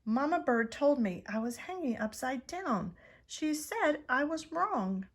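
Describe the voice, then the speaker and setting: A woman's voice: high-pitched, animated, measured pace, voice pushed up, exaggerated voice. A woman voicing an earnest, innocent young female bat, the heroine of a children's storybook. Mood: confused, defensive.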